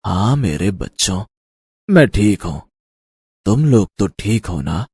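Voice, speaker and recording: A man playing an elderly guru in a storybook tale, calm, fatherly and brave, speaking in a measured, gently commanding way. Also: fairly low pitch, slightly rough, dry recording, clean studio sound, heavily acted. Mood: tender, solemn. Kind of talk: animated storybook character acting.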